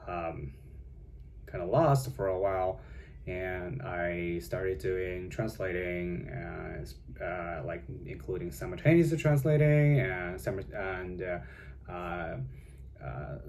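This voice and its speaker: A man's voice: deep voice, darkish tone, slight background noise. A young man from China with a Chinese accent, speaking English as a second language and chatting casually in a vlog from home, rambling with many fillers and self-corrections. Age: young adult. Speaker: vlogger. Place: home with cats.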